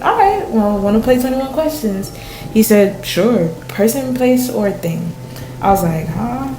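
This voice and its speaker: A woman playing a storm-shaken fisher in a radio play, recounting a surreal vision at sea in intense, colloquial storytelling. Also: fairly high pitch. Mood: playful, bemused. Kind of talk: dramatic radio play monologue. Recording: roomy, echoing sound.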